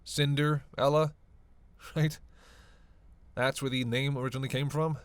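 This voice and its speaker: A man playing a snarky, self-serving male vampire who teases the listener in a casual, sarcastic roleplay audio drama, with a creaky voice. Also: medium pitch, steady tone, moderate pace, no room sound, close to an everyday voice. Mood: wry explaining. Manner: casual, sarcastic, teasing, cursing.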